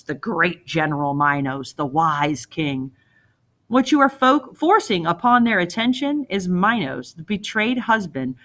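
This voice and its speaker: A woman's voice: medium pitch, fairly bright tone. A woman voicing a bold, reckless young male hero in a storybook reading, earnest and defiant.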